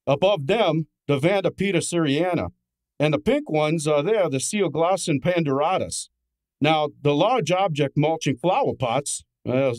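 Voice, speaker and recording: A man plays a streetwise detective's sidekick in an old-time radio mystery. The voice is on the high side and very dry. He is breezy, loyal and teasing, with slangy wisecracks. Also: a little mellow, dry recording, close to an everyday voice.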